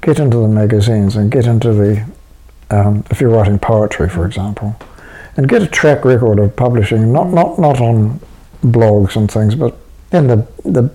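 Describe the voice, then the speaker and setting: A man's voice: lowish voice, moderate pace, warm tone, low-quality recording. A man being interviewed about his writing, talking casually and rambling with many restarts and fillers. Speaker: interviewed writer.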